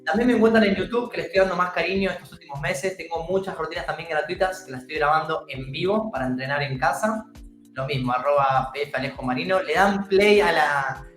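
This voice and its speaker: Argentine male guest, upbeat and encouraging, slightly high voice, roomy call audio. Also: brisk, a bit bright, faint music behind. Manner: enthusiastic, encouraging. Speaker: calisthenics instructor guest. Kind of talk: casual interview chat. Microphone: video call.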